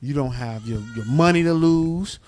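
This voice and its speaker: A man's voice: fairly high pitch, normal speed, darkish tone, slight background noise. A man in his forties hosting a conversational relationship coaching talk, emphatic and often checking for agreement. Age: 40s. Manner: emphatic, seeks agreement with repeated tags.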